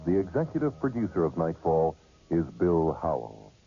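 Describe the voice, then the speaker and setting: A man with a low voice announces formally from a script as a radio announcer. His intonation is lively and his phrasing clear, on a slightly muffled recording with music behind. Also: normal speed.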